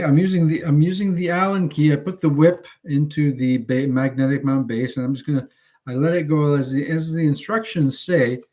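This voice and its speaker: A man in his fifties from Canada, giving a casual, unscripted product demonstration in a halting, rambling way. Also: medium pitch, a little mellow. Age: 50s. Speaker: hobbyist video host. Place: indoors at home. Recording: home recording with faint background noise.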